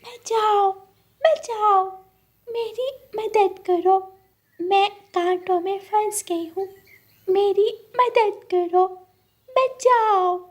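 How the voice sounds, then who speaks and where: A woman's voice: very high-pitched, extremely slow, darkish tone, pitched above the performer's own voice, exaggerated voice. A woman voicing a small, delicate female cartoon butterfly, sweet and plaintive. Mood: pleading. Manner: pleading, plaintive cries for help.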